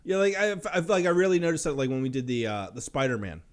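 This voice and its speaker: A man co-hosting a podcast, chatting casually in an informal, slightly halting way. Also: a little high, sing-song. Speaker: podcast co-host. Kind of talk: casual conversational podcast chat.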